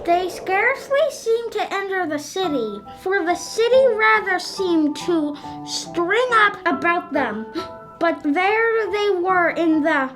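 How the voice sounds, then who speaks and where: Young student narrator, very high and animated, slow school reading. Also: female voice, rough recording. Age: child voice, third grader. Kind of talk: school radio play narration.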